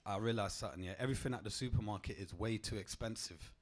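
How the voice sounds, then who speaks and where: Deep-voiced stand-up comic man. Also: fast talker. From England. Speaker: stand-up comedian. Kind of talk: stand-up comedy set. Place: comedy club stage.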